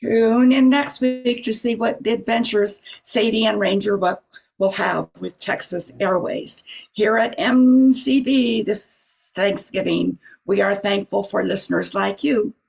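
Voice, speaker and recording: A narrator reading stage directions and introductions for an amateur radio play, with a gravelly, husky voice. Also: medium pitch, fairly even intonation, a little slow.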